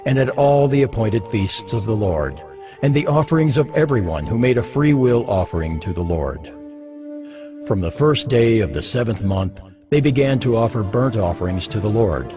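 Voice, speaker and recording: A man narrating scripture as a scripted dramatized reading, solemn and expressive in his storytelling. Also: fairly low pitch, moderate pace. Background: music.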